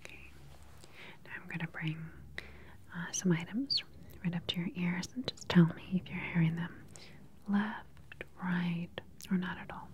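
A woman in her thirties speaks in a soft, breathy whisper close to the mic, gentle, clinical and unhurried, as a doctor in an ASMR exam roleplay. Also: fairly low pitch, steady tone, a little hiss or hum. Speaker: ASMR performer playing a doctor. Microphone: close ASMR microphone.

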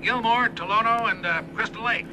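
A man's voice: high-pitched, normal speed, natural voice. An actor playing a harried male utility dispatcher in an audio drama, heard on a noisy call. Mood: pressed. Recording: noisy, quiet radio call.

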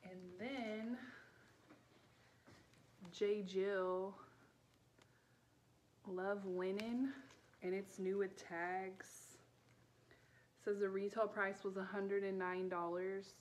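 A woman in her thirties chatting casually in a low-key, offhand way, as if showing finds to viewers at home. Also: steady tone, extremely slow, long pauses. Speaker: vlogger and online vintage reseller. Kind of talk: casual haul video chat. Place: small living room at home, indoors. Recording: clear background room noise.

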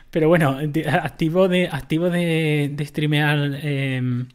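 A man's voice: a little high, fairly even intonation, slow pace, smooth. A man giving casual commentary on a game, explaining items to his viewers. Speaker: gaming streamer.

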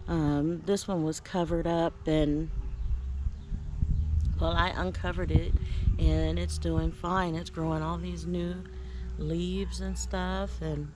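A woman's voice: on the low side, dark tone, a little hiss or hum. A woman giving a casual, chatty tour of her backyard garden, talking from the camera as she walks, with easy fillers and restarts.

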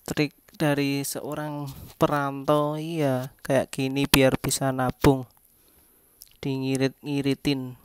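A vlogger speaks casually at a middle pitch with very little melody. The delivery is slow and halting, phrase by phrase, as in a homemade narration over close-up shots. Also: monotone, smooth, dry recording.

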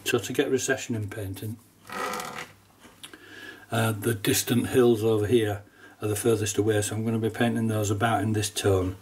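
A middle-aged man with a cold, sounding nasal, casually talking through a watercolour painting demonstration at his desk, relaxed and chatty with small laughs. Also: on the low side, moderate pace, distant mic, slight background noise. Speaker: watercolour teacher. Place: painting desk at home, indoors.

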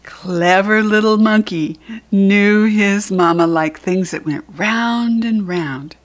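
A woman with an American accent reading a children's picture book aloud in a deliberate, teacherly way. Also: medium pitch. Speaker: storybook reader.

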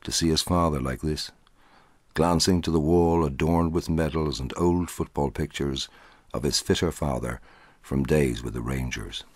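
A middle-aged man reading a short story aloud in a measured, dramatic way, with a husky voice. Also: extremely low pitch, no room sound. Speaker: audiobook narrator.

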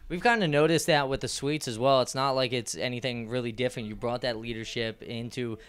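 A man's voice: medium pitch, fairly quick, fairly bright tone, smooth. A young man with a handheld mic, hosting a postgame sports interview with long, rambling, upbeat questions. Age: young adult. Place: small indoor press backdrop.

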